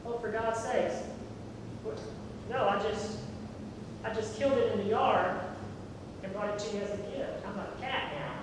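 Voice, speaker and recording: Guy acting grumpy sarcastic stage character, high voice, far from mic with clear rumble noise. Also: normal speed, pauses often, lightly acted. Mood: exasperated. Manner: sarcastic, exasperated. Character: exasperated, sarcastic man hunting for a lost thingamabob. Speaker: amateur stage actor. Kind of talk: community theatre comedy acting. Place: theatre stage, distant microphone. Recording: noisy echoey hall from far off, rumble.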